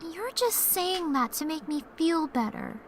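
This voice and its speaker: A woman voicing a shy, self-doubting young schoolgirl in a visual novel game, with a soft, girlish, apologetic delivery. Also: sits high, sing-song, a little slow, a little hiss or hum. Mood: dejected, timid. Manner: timid, apologetic. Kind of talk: anime-style visual novel voice acting.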